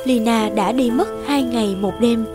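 A woman's voice: a little high, normal speed, music in the background, lightly acted. A woman reading scripted narration for a fairy tale as its narrator.

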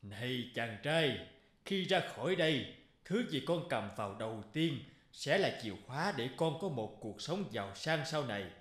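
A man's voice: mid-range voice, very animated, moderate pace, a bit bright. A solemn god speaks from a shrine in a cartoon folktale. His authoritative voice rises and falls widely, wrapped in a roomy echo. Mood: solemn prophecy. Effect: echo reverb. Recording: echoing, reverberant voice effect.

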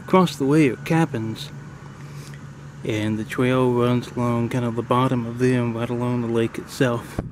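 A middle-aged man casually narrating a hike on a wooded lakeside trail in a relaxed, admiring way, recorded on a handheld camera. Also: mid-range voice, moderate pace. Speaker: hiking vlogger. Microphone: handheld camera mic, speaker off camera. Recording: faint outdoor noise.

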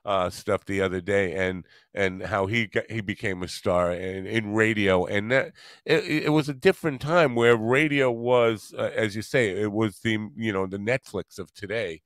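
A man's voice: lowish voice, normal speed, fairly bright tone, no echo, studio quality. An older American man chatting casually as the host of an interview show. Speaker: podcast host. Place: small home studio, indoors. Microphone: close studio microphone with headset.

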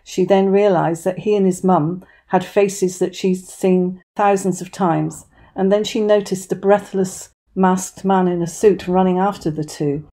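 A woman's voice: on the low side, fairly even intonation, a little slow, warm tone. A woman giving opinion commentary as a voice-over. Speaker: commentary channel host.

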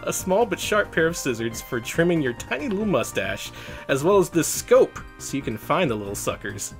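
A man's voice: fairly high pitch, sing-song, normal speed, soft background music, voice pushed up. A man voicing a smug, boastful young hedgehog hero in a storybook. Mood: breezy, smug. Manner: smug, breezy. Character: cocky, boastful, self-important young male hedgehog hero.

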